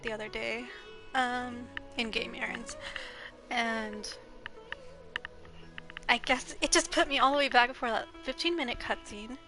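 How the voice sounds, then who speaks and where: A woman's voice: a little high, low-quality recording. Congested, giggly young woman streamer. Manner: rambling, giggly, lots of ums. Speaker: game streamer. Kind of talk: casual gameplay live stream chat. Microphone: headset. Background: faint game music.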